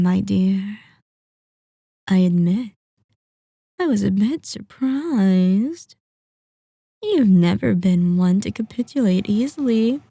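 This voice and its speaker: A woman's voice: mid-range voice, gravelly, mellow, no room sound, studio quality. A woman voicing a possessive, sadistic vampire in an ASMR roleplay, with a silky, teasing, mock-polite menace. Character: sadistic, possessive female vampire lord toying with captive hunter.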